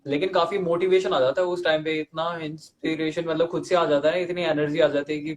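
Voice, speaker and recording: Young man, slightly high voice, interviewer, eager and agreeable, casual chat. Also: fairly even intonation, fairly quick, a little hiss or hum. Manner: eager, agreeing often, laughs along.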